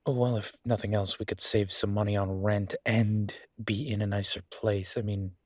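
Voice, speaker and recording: Man acting as a low, husky, easygoing boyfriend. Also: level tone, moderate pace, no echo, clean studio sound, lightly acted. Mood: earnest, reassuring. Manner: hesitant, rambling, conversational. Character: affectionate young male werewolf boyfriend, earnest and easygoing. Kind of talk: intimate audio roleplay, casual conversational acting.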